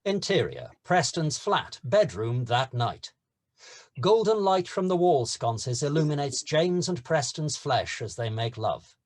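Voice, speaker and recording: A man reads screenplay directions as scripted narration. His voice is husky and slightly high, with lively swings in pitch from phrase to phrase. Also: measured pace. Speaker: narrator reading stage directions.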